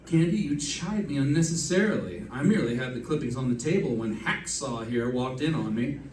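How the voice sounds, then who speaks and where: A man playing a genteel, tippling gentleman sidekick with grand airs, pompous and flowery in old radio style. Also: medium pitch, lively intonation, a little fast, lightly acted. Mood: defensive. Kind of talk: 1940s radio comedy-drama acting. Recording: echoey room, distant mic, faint noise.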